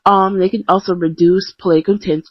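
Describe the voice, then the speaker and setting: A woman's voice: fairly low pitch, steady tone, fairly quick, breathy edge, no echo. A young woman talking casually in a podcast style, chatty and self-deprecating, with lots of ums and restarts. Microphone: close mic, blank screen. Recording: dull lo-fi home recording.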